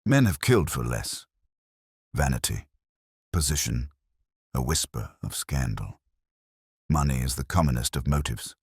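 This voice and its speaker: A man's voice: extremely low pitch, expressive, a little slow, pauses often, darkish tone, husky, no room sound, studio quality, heavily acted. A man voicing an imperious, shrewd detective in an audiobook, with formal, clipped, commanding pronouncements. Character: imperious, shrewd male detective, coldly judging.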